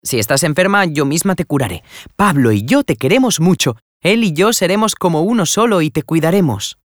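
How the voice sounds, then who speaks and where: A man voicing a gentle, loving young lady who pleads affectionately with her cousin. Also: on the high side, lively intonation, brisk, no room sound, studio quality, voice pushed up, close to an everyday voice. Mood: tender.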